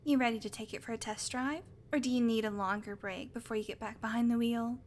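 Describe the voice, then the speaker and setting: A woman's voice: on the high side, fairly even intonation, quick pace, a little hiss or hum, natural voice. A woman playing a sarcastic, teasing vampire who swears casually, in a conversational audio roleplay. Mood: wry, casual. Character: sardonic female vampire, unrepentant predator, teasing. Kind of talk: audio roleplay acting, conversational monologue to listener.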